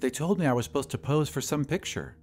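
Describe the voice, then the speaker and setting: A man's voice: mid-range voice, lively intonation, fast, a little mellow, faint music behind, close to an everyday voice. A man voicing a coarse, humble woman who works as a gas chamber hostess, speaking plainly and getting flustered easily. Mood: puzzled.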